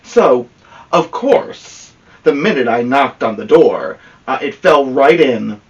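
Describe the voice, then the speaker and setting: A man's voice: mid-range voice, expressive, slow pace, highs cut off. A man voicing a chatty, aggrieved storybook wolf who pleads his innocence in a folksy, confiding way. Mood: innocent. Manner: folksy, confiding, self-justifying.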